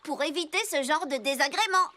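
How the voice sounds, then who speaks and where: A woman's voice: high voice, a little fast, pushed and bright. A woman voicing a confident, perky young baker girl in a French cartoon dub. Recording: faint music behind the voice.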